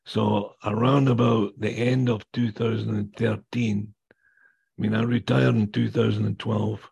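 A man in his sixties with a raspy voice from damaged vocal cords, speaking plainly and bluntly as a guest speaker telling his own story. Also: fairly low pitch, little pitch movement, measured pace, a little mellow. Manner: plainspoken, blunt, mild swearing. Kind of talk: personal testimony talk.

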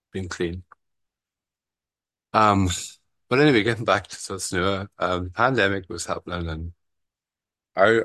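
Low-voiced Irish man sharing his story. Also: a bit bright, dry recording. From Ireland. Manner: rambling, frank, swears casually, frequent "you know". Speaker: recovery meeting founder sharing his story. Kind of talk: casual personal share.

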